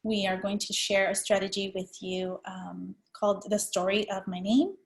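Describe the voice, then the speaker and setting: A woman in her forties from El Salvador presents to early educators, explaining ideas in a personal way. She has a mid-range speaking voice and an unhurried manner. Her delivery is that of a training webinar. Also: mid-range voice, fairly even intonation, gravelly.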